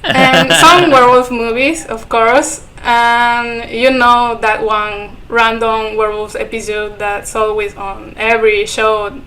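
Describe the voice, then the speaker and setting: A woman's voice: a little high, flat delivery, takes it slowly, few pauses, pushed and bright, poor audio. A young woman from Ecuador whose first language is Spanish, speaking English with an Ecuadorian Spanish accent. She is a guest in a casual podcast chat and talks with frequent giggles while searching for words.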